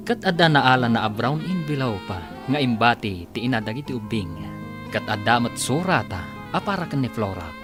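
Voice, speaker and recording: Brief: formal male radio announcer, animated delivery over guitar. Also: mid-range voice. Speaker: announcer and narrator.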